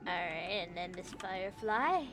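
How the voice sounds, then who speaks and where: A woman's voice: medium pitch, animated, measured pace, noisy background. A woman livestreaming a game, chatting casually with her viewers and musing aloud. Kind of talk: casual game stream chat.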